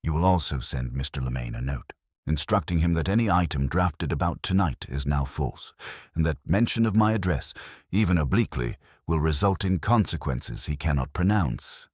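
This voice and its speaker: A man plays a stern older detective in an audio mystery story. The voice is extremely low and slightly husky. He speaks in formal, measured rebukes, pedantic and full of scorn. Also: lively intonation, a little mellow, no room sound, clean studio sound, full character voice. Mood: imperious.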